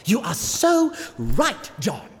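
An actor playing an officious, obsequious royal steward, easily flustered, in a medieval audio drama. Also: male voice, huge pitch swings, takes it slowly, full character voice. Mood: fawning, flustered. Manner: obsequious to the king, flustered, shrill. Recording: long hall reverb, faint ambient noise.

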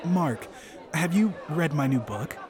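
A man's voice: fairly high pitch, animated, a little slow, a crowd in the background. Smug author man, condescending fake-nice, needling, people chattering around him, improv comedy drama. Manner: patronizing, feigned politeness, needling. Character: smug, condescending male literary star, falsely gracious.

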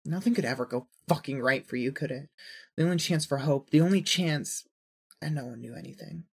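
Husky, mid-pitched dramatic narrator. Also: fairly quick. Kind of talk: scripted dramatic second-person narration.

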